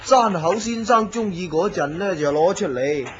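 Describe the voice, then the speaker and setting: A man's voice: high-pitched, lively intonation, normal speed. A man voicing a chatty, gossiping office worker in a radio drama. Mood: gossipy. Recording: muffled lo-fi recording with loud hiss.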